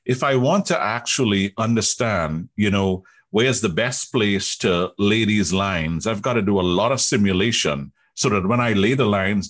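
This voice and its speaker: Male interview guest, Trinidadian accent, lower register, rough husky tone, emphatic conversational explainer. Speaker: interview guest, technology executive.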